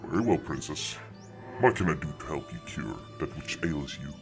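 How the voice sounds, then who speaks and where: A man voicing a courtly, gruff but teasing dragon with formal, stately phrasing in a fantasy audio drama. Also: rumbling low, big pitch swings, moderate pace, over music, heavily acted. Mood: measured, courteous.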